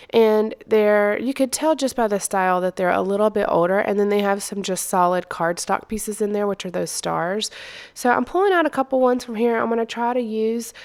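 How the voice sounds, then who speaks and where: An American woman talks through a craft project in a casual, unscripted voice-over. She sounds congested and under the weather, so her delivery stays low-key. Her voice sits at a medium pitch and still comes across clean and smooth. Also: darkish tone. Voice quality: congested, stuffy-nosed. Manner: low-key, not very peppy. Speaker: craft video host.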